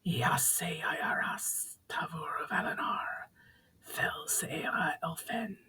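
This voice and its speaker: Guy doing an ancient haughty flame sorceress spirit, whispery, slow and solemn, fantasy storybook style. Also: a little high, voice pushed up, full character voice. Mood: eerie, commanding. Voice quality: hushed, whispery, breathy. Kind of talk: dramatic audiobook reading.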